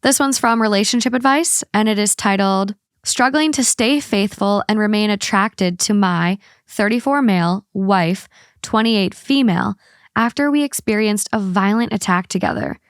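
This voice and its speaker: A woman's voice: mid-range voice, normal speed, smooth, no room sound, studio quality. A woman hosting a podcast, chatting casually and reading stories aloud. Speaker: podcast host. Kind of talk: casual co-host chat and reading a story aloud.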